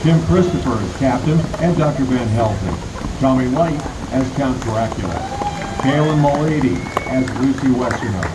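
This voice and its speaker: Male radio-play announcer, formal scripted read, medium pitch, roomy stage sound. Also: expressive, normal speed, heavy background noise. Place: live community theatre stage. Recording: roomy stage recording with music behind. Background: loud music.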